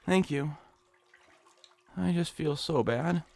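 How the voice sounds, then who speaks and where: A fretful, creaky-voiced whiny nebbish. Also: male voice, fairly high pitch, takes it slowly, a little mellow, full character voice. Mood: self-pitying, whiny. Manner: fretful, flustered, stammering. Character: nervous, whiny nebbish hypochondriac man, eager and hapless. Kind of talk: audio drama acting in old-time radio suspense style.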